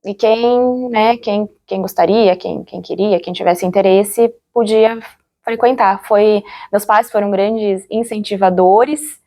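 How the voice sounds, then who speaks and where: A Brazilian woman giving an interview, recalling her experience in a reflective, halting way, searching for words and often checking for agreement. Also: medium pitch, fairly even intonation, mellow. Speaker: interviewee, former radio drama actor. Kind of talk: interview, personal recollection.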